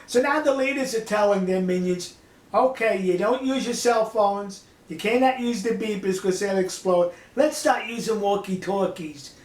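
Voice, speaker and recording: Older guy w high voice doing folksy emphatic commentary, pretty echoey room. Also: moderate pace. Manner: folksy, emphatic, rhetorical questions. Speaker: online political commentator. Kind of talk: casual monologue commentary to viewers.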